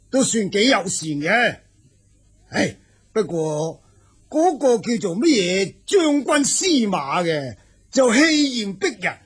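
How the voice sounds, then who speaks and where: Very husky, proud steppe king. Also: high-pitched, exaggerated voice. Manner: emphatic, halting, sighing. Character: proud, indignant Xiongnu king, husband and father. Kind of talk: radio drama acting.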